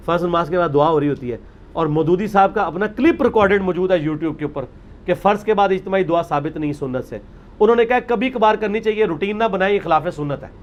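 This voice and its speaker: High-pitched Pakistani man lecturing, dull recording. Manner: emphatic, argumentative, mixes in English words. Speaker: religious scholar and lecturer. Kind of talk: informal religious lecture with argument.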